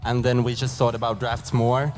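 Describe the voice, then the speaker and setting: A man interpreting for esports players, with relaxed, loose phrasing. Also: medium pitch, moderate pace, soft background music.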